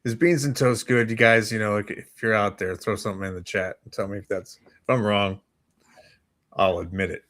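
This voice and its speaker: An American man with a fairly low voice talks as co-host of a casual morning podcast. His manner is easygoing and conversational. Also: fairly even intonation, normal speed, fairly bright tone. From United States. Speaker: talk show co-host.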